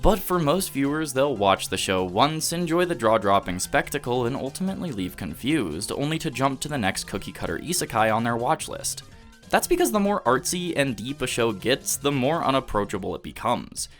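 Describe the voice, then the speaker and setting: A man delivering scripted, analytical video essay narration in a measured, emphatic, rhetorical way. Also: mid-range voice, big pitch swings, a bit bright. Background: background music.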